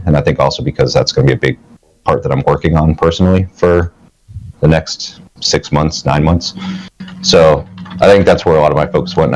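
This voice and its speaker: A man who works as a lead systems designer, answering panel questions in a relaxed, thoughtful way. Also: extremely low pitch, rough recording.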